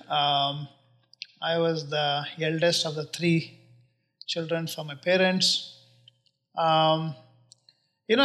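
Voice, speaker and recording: Indian man in his forties, fairly high voice, earnest and unhurried, leans on "uh" and "um" between thoughts. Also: vocal fry, a bit bright. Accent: Indian English. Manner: earnest, measured, pauses with many uh and um fillers. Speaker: real estate investor guest. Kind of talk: casual interview answers.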